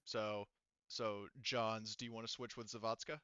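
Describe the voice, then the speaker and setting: A man's voice: on the low side, level tone, normal speed, pushed and bright, smooth, no echo. A man running a tabletop roleplaying session as game master, talking informally. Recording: slightly dull, dry close recording.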